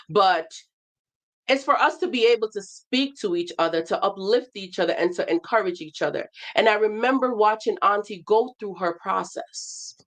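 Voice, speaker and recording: A woman hosting a casual livestream, speaking straight to her audience. She talks in an encouraging, conversational way. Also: medium pitch. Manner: warm encouraging introductions, addresses viewers as guys. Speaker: livestream host.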